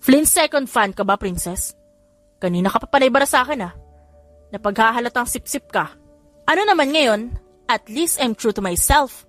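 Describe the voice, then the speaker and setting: A woman narrator puts on a cocky older brother in an audiobook romance. The voice is high for the part, boastful and quick to needle, over soft music. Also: lively intonation, lightly acted. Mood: sarcastic. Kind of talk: audiobook character dialogue.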